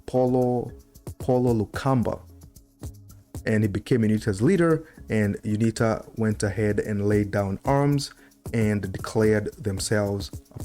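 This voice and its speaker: Middle-aged man, mellow slightly low voice, conversational history commentary, close desk mic, faint music behind. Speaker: host. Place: indoor room at a desk. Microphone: desktop microphone close to the mouth.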